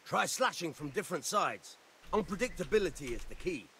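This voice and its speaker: An actor voicing a seasoned, brisk and blunt sword instructor in a medieval video game. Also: male voice, fairly high pitch, very animated, fairly bright tone. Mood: brisk, instructing.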